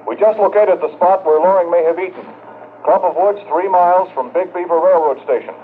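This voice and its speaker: A man's voice: high voice, a little fast, natural voice. An actor playing a dutiful patrol policeman giving clipped, formal radio reports in a 1950s radio drama. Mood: formal, urgent. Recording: tinny noisy vintage radio transmission.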